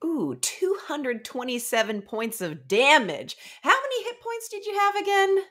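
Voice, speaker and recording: A woman's voice: sits high, sing-song, normal speed, pushed and bright, voice pushed up, exaggerated voice. A woman voicing a brusque, sardonic female dungeon master with dramatic announcements and dry retorts. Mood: ominous, dry.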